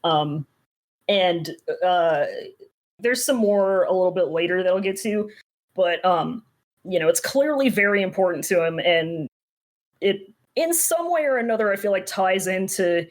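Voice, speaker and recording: A woman's voice: on the low side, animated, unhurried. A woman talks casually as a podcast co-host. She is irreverent and slangy, recapping a story in a loose, conversational way and swearing freely. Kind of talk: casual book recap chat.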